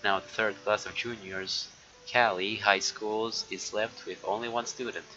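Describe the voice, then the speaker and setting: A man's voice: lowish voice, fairly even intonation, unhurried, over music. A man streaming a game, chatting casually with viewers, swearing now and then and reading story text aloud. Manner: swears casually, talks to chat. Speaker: live streamer. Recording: dull lo-fi mic with background noise.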